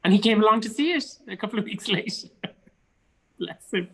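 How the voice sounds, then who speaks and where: A man's voice: sits high, expressive, a little fast, breathy edge. A man with a Cork Irish accent, chatting casually as an interview guest in an emphatic way, repeating phrases for stress. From Cork, Ireland. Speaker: interview guest, stage actor. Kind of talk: casual chat. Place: remote online video chat.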